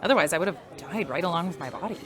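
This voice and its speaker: An actress playing a friendly, easygoing uploaded human mind, speaking in a casual, chatty way in a sci-fi radio drama. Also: female voice, lowish voice, lively intonation, rapid-fire, music in the background, a crowd in the background, lightly acted. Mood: friendly, candid. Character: friendly, easygoing female uploaded mind running a general store.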